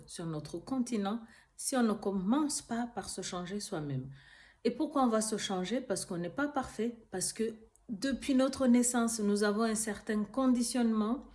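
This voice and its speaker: A woman, a Kinyarwanda speaker, hosting her own channel and talking earnestly to camera, pausing often with fillers. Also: a little high, darkish tone. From Rwanda. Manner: earnest, hesitant with frequent fillers. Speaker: YouTube channel host. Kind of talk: casual talk to camera.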